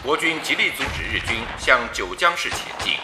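An actor playing a solemn, declamatory 1930s wartime radio announcer reading bulletins in a radio drama. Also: male voice, medium pitch, big pitch swings, fairly quick, music in the background, natural voice. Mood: grave.

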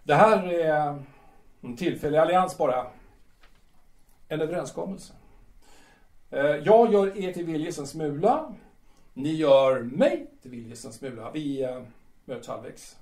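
Man playing echoey, high-pitched bitter bohemian playwright. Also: long pauses, darkish tone, lightly acted. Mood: reluctant, sulky. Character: bitter, proud, evasive bohemian male playwright in 1890s Paris. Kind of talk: audio drama acting. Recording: echoey room.

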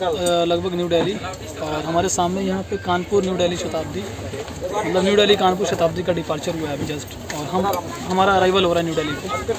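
High-voiced man vlogging on a busy platform. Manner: chatty running commentary, often adds "ना" and "देखिए". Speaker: train travel vlogger. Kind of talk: casual travel vlog commentary. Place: on board a train, then on a busy station platform. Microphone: phone held at arm's length, selfie framing. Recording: loud crowd and train noise. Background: loud station crowd and train noise.

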